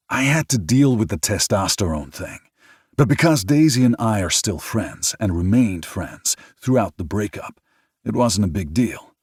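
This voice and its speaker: A man's voice: fairly low pitch, a little mellow, breathy edge, no room sound, studio quality, pitched above the performer's own voice. A man voicing a frank, direct adult man speaking plainly to a rival about a woman they both care for.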